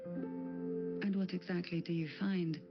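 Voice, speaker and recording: A woman voicing a composed, courteous noble matriarch in a video game, speaking formally and with measured poise. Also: fairly low pitch, little pitch movement, highs cut off. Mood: grave, measured. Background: loud game music.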